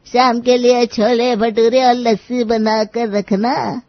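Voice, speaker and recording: A woman voicing a bossy, demanding old grandmother-in-law in a cartoon moral story, imperious and scolding, with drawn-out words. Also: fairly high pitch, little pitch movement, no echo, muffled recording. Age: older.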